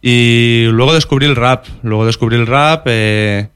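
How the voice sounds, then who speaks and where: A young man with a Valencian accent, Valencian as his first language, answers interview questions about his music. He speaks thoughtfully, often restarting and repeating phrases. His voice is smooth and clear, recorded close and dry. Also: medium pitch, measured pace, a bit bright, no echo.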